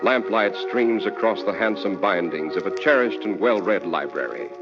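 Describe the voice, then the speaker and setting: A man's voice: on the low side, moderate pace. A man announcing and hosting a scripted 1940s radio show with polished, theatrical, salesmanlike delivery. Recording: muffled vintage radio broadcast, reverberant, music behind.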